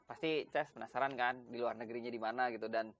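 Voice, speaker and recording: A man's voice: medium pitch, steady tone, quick pace, a bit bright. Casual man hosting a chat. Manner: informal, chatty, banters with guest. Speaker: host of a chat interview show.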